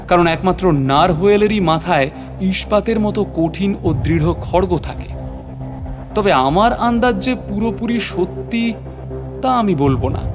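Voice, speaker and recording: উঁচু গলার নাটকীয় গল্পকথক. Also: male voice, high voice. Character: male natural history professor recounting his adventure. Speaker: narrator. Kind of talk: dramatic scripted story narration.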